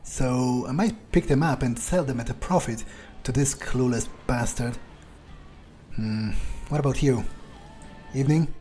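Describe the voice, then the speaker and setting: A man's voice: medium pitch, unhurried, warm tone, soft background music. A man role-playing his character in a video game playthrough, thinking aloud in a musing, hesitant, sardonic way. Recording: faint background game ambience.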